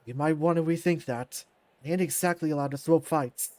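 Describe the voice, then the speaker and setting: Man voicing a gruff, terse young fighter. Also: on the high side, fairly quick, darkish tone, no room sound, voice pushed down, lightly acted. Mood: guarded. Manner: terse, guarded. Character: gruff, weary young male underground fighter.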